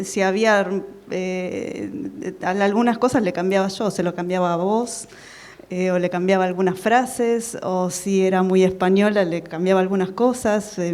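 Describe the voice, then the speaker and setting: A woman translator answers on a book-fair panel. Her voice is low and flat. She hesitates a lot and restarts often as she speaks. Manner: hesitant, many fillers and restarts. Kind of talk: panel discussion. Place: conference hall at a book fair.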